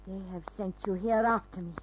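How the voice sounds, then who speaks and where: A woman's voice: medium pitch, fairly even intonation, fairly quick, close to an everyday voice. A woman playing a wary, proud model with a slight foreign accent in an old radio crime drama. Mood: fearful, defiant. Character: guarded foreign female model, suspicious and proud. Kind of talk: 1950s radio drama acting. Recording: dull, hissy old radio recording.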